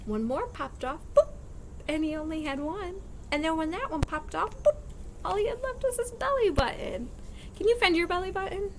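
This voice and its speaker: A woman reading a picture book aloud to young children as a teacher, expressive and sing-song, pausing to explain in simple words. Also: extremely high pitch, a little hiss or hum. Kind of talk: animated children's read-aloud with singing and counting.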